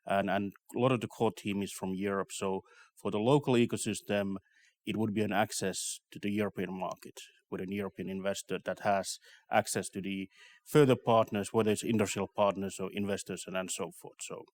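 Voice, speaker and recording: A man with a Finnish accent answering interview questions about business in a measured, tentative way. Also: fairly low pitch, fairly even intonation. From Finland. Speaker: investment company representative, interviewee.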